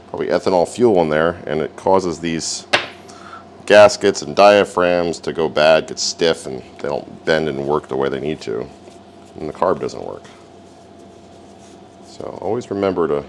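Host, male, very low gravelly voice, easygoing shop-talk narration in a home garage with faint background hum. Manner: relaxed, wry, talks while working. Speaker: repair video host. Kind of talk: casual hands-on repair narration.

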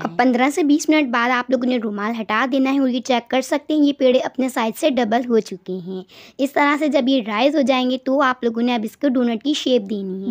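A woman giving step-by-step recipe instructions as a voice-over, often doubling words for emphasis. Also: on the high side. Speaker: cooking channel host.

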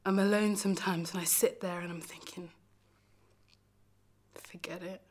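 A woman performing a dramatic monologue as a lonely, heartbroken young lover, confessional and emphatic, moving between hushed and forceful delivery. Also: on the low side, steady tone, moderate pace, lightly acted. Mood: despairing, anguished. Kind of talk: dramatic stage monologue, self-taped acting showreel.

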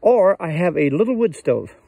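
A man's voice: high voice, big pitch swings, normal speed, gravelly, mellow, slightly rough, no echo. An older man casually showing his cabin outdoors in the woods, rambling in a folksy way with many fillers, recorded on a handheld phone. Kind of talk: casual walkthrough chat.

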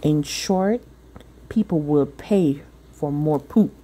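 A woman's voice: low-pitched, slow pace, dark tone, slightly rough, full character voice. A woman voicing an earnest, persuasive little girl in a storybook, speaking formally and inquisitively.

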